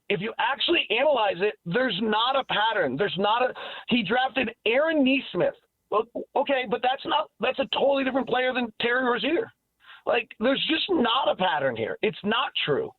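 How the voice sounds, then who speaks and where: High-voiced, emphatic man on a phone line. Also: sing-song, normal speed, breathy edge, no room sound. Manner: emphatic, argumentative, repeats points. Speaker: sports radio commentator and guest. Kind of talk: opinionated sports talk.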